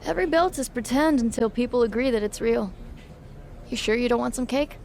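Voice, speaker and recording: A woman playing a cool, sly rival in a wrestling video game cutscene, measured and needling. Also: a little high, vocal fry, darkish tone.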